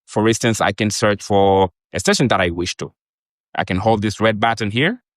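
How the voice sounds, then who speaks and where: A man's voice: lowish voice, moderate pace, no echo, clean studio sound. A man presenting and demonstrating a product in an explanatory, repetitive way.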